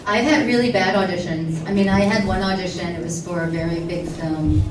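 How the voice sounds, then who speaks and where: Animated woman in a noisy echoey hall. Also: lowish voice, brisk, distant mic. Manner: animated anecdotes, quotes others. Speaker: actress on convention panel. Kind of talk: convention panel storytelling. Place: convention hall panel.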